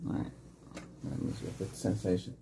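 A man's voice: a little fast, warm tone, slight background noise. A middle-aged man in an ASMR medical exam roleplay, playing a doctor who gives short, reassuring questions and instructions. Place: small indoor room set up as a clinic.

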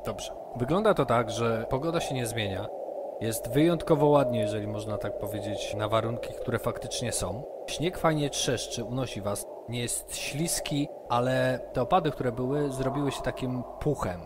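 Man narrating as game master. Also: medium pitch. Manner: slow, descriptive. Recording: loud background noise.

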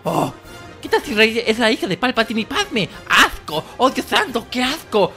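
Cartoon parody: female actor as excitable chatterbox nerd robot, highish voice, Latin American Spanish accent, faint music behind. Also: normal speed, exaggerated voice. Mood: excited. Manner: rambling, geeky, excitable. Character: chattering, excitable nerdy little droid theorist. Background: background music.

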